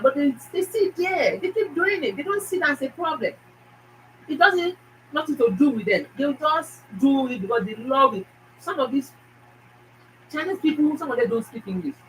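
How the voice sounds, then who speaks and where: A Nigerian-accented woman talks straight to her viewers in a fast, emphatic way, often repeating herself. Her voice sits a little high. She sounds set back from the mic, with faint noise behind her. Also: a bit bright, distant mic, rough recording. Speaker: YouTube channel host. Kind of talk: casual motivational talk to viewers.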